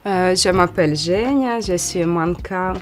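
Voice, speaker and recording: Une femme d'une quarantaine d'années, d'origine ukrainienne, avec un ukrainien, qui répond à une interview sur un ton décontracté et hésitant. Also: female voice, fairly low pitch, a little slow, slight background noise. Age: 40s. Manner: hesitant, many "euh" fillers, lively when acting out quotes. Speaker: model, interview guest. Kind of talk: casual interview answers.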